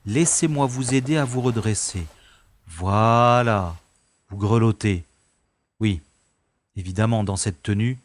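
A man's voice: lowish voice, slow pace, long pauses. A man playing a wry, gallant adventurer in an audio drama, speaking formally and thinking aloud. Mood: wry, reassuring. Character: wry, gallant male adventurer rescuing a young woman. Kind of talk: French-language audio drama acting with first-person narration. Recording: echoey long reverb, music behind.

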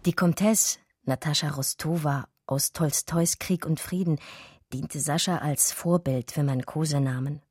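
An actress playing a wistful German art historian, narrating memories of a lost lover in a measured, intimate radio drama style. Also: female voice, low voice, darkish tone, husky, no echo, studio quality. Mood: wistful remembering.

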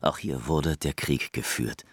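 A man's voice: a bass voice, measured pace, dry recording, clean studio sound. A man narrating a literary radio play dramatically, in terse, declarative sentences. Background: music.

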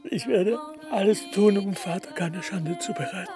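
A man playing a young Persian king in a comic radio play, boastful yet meek and halting. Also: high-pitched, music in the background. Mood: dutiful. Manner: hesitant, halting, awkward grandeur.

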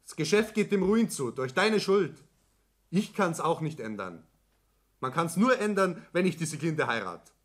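A defiant young heir in a radio drama. His fairly high voice moves in lively swings, stubborn and full of resentment. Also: unhurried. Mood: bitter, accusing. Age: young adult. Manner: stubborn, accusing. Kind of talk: German radio play acting.

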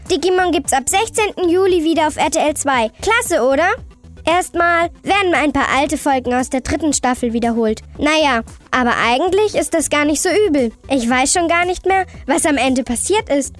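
Woman voicing a high-pitched chirpy girl host. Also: moderate pace, soft background music, natural voice. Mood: excited, chummy. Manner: exclamatory, chummy, teasing youth slang. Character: Sally, chirpy excitable cartoon-like girl host. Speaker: host answering young callers' questions. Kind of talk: scripted children's audio show.

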